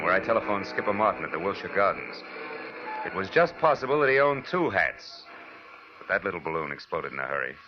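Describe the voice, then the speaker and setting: A man's voice: on the low side, natural voice. A man with an American accent playing a cynical, wisecracking private detective who narrates his own case in a 1940s radio drama. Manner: hardboiled, wry, slangy. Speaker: narrator and lead actor. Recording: muffled vintage radio broadcast with music.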